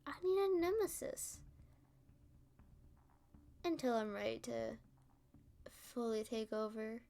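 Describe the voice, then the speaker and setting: A woman playing a playful, smug female supervillain in an ASMR roleplay, teasing and taunting with drawn-out phrasing. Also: on the high side, expressive, vocal fry, warm tone, full character voice.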